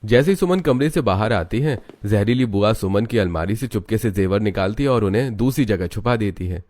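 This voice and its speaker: A man with a low voice serves as narrator of a moral family tale. His delivery is scripted, dramatic storytelling. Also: lively intonation, a little fast, darkish tone.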